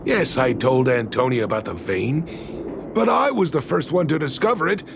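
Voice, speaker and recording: A man's voice: medium pitch, normal speed, vocal fry, noisy background, natural voice. An actor playing a weary, kindly miner and protective father in a video game, with a hesitant, placating manner. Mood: defensive. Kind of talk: animated video game voice acting.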